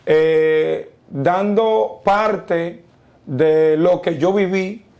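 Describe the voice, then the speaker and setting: Dominican man with a gravelly, mellow voice. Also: high-pitched, steady tone. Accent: Dominican Spanish. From Dominican Republic. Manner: preacherly, quotes scripture, slow emphatic pauses. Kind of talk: testimony interview.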